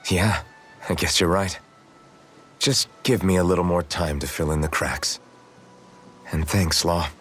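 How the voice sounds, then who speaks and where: Brooding young game hero, lowish voice, earnest and wounded, music going behind him. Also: male voice, steady tone, a little slow, a bit bright. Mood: downcast, reflective. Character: troubled, earnest young male hero, wounded but determined. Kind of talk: dubbed anime-style game voice acting. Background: prominent background music.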